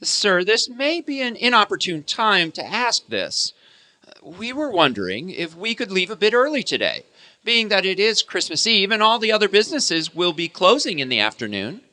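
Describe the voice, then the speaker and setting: A meek, nervously polite clerk in a radio play, played by a man. His voice is fairly high and bright, swinging widely in pitch as he hesitates and defers. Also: very animated, normal speed, full character voice. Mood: hesitant, pleading. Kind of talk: theatrical radio play acting.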